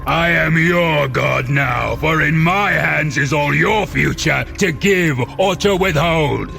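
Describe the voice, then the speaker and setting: A man playing a wretched, vengeful man-made monster in an audio drama, speaking in grandiose, archaic, deliberate phrases. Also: on the high side, creaky, sharp tone, soft background music, heavily acted. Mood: menacing, proud.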